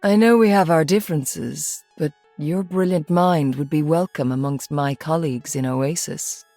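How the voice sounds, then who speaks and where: A woman's voice: low voice, normal speed, mellow, dry recording. A woman playing a haughty, calculating scientist in a video game. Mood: smooth persuasion. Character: haughty, calculating middle-aged female geneticist. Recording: music behind the voice.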